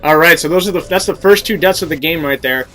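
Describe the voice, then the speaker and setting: A man's voice: fairly high pitch, quick pace, fairly bright tone. Stuffy-voiced young man explaining his game. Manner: explanatory, coaching tone with many fillers. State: stuffy nose and sore throat from a cold. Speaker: gameplay commentator. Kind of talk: casual game commentary.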